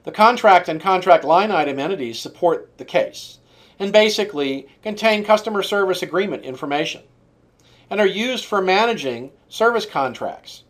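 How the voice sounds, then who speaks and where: A man giving scripted instructional narration as a software trainer, in a measured, explanatory way that sets out lists item by item. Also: sits high, lively intonation.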